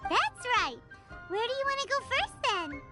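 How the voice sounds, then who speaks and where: A very high, squeaky little fairy girl with a voice that leaps all over the place. Bossy and excitable, she chirps encouragement like a pushy best friend in a fantasy game. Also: over music, full character voice. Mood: eager. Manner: bouncy, wheedling, quick to cheer others on. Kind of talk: video game voice acting.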